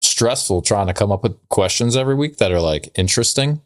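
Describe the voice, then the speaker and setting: A man's voice: on the low side, expressive, fairly quick, no echo, studio quality. A man co-hosting a podcast in casual comedic banter, often questioning and teasing. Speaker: podcast co-host.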